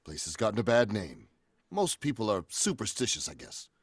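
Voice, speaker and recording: A man's voice: on the low side, sing-song, moderate pace, slightly rough, dry recording, studio quality, full character voice. A man playing an uneasy real estate agent, acted in the manner of a 1940s radio horror drama. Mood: businesslike.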